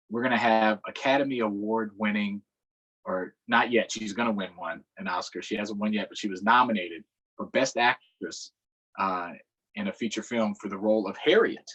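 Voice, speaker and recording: A man's voice: on the low side, a bit bright, breathy edge, low-quality recording. An American man hosting a livestreamed storytime for children, talking casually in an upbeat, conversational way.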